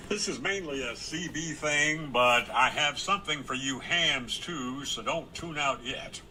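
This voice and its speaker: A man presenting an instructional explainer in an emphatic, clearly phrased way. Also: mid-range voice, unhurried, bright, forceful tone. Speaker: presenter of a radio hobby explainer video. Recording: noisy video playback.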